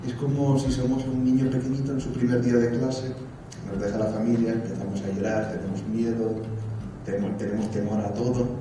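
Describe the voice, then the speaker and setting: Animated man in an echoey room. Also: mid-range voice, a little fast. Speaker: student. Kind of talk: testimonial interview. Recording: echoey room from far, noisy.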